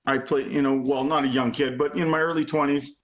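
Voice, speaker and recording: A man's voice: mid-range voice, fairly even intonation, fairly quick, muffled recording. A man in his forties, a guest drummer chatting casually, rambling with lots of "you know" and "like". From Alberta, Canada. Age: 40s. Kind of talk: casual chat. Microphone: video call.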